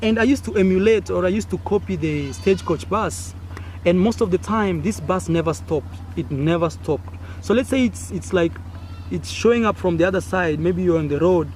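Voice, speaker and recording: A young man with a Kenyan accent, whose first language is Swahili, tells a story outdoors in an animated, chatty way. His voice sits a little high, and he acts out sounds as he goes. Faint village noise runs behind him. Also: moderate pace. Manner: animated, acts out sounds and gestures with frequent fillers. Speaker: content creator. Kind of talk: casual storytelling to camera.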